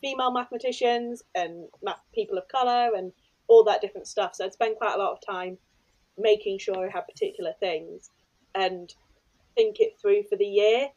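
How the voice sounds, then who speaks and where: A woman's voice: mid-range voice, moderate pace, darkish tone, muffled recording. Chatty, enthusiastic woman. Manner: chatty and enthusiastic, with many fillers like "kind of" and "like". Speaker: podcast host, former maths teacher. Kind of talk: casual chat.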